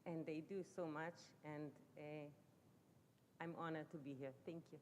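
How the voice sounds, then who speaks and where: A woman's voice: steady tone, moderate pace, a little mellow. A woman, a teacher, giving a modest thank-you in simple phrasing. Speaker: Hebrew teacher. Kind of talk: brief thank-you speech. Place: synagogue sanctuary. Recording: echoey hall with low rumble.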